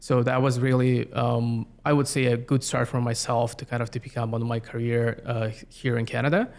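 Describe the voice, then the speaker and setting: Guest in his 30s, Yakut native speaker from Russia, gravelly, monotone delivery, halting. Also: medium pitch. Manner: halting, many fillers and restarts. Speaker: guest, community planner. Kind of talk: casual interview chat.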